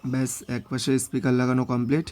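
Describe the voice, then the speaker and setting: Fast-talking, low-key man narrating a vlog. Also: little pitch movement, darkish tone. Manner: mixes English words into speech. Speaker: motorbike vlogger. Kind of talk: scripted vlog voice-over narration.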